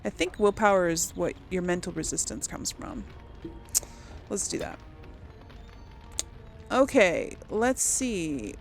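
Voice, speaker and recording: A woman hosting a casual let's play, thinking out loud in a conversational, musing way. Her voice is a bit low with noticeable vocal fry, and her pace is unhurried. A faint noise sits behind her. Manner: musing, conversational, talking herself through choices. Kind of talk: casual gameplay commentary, thinking aloud.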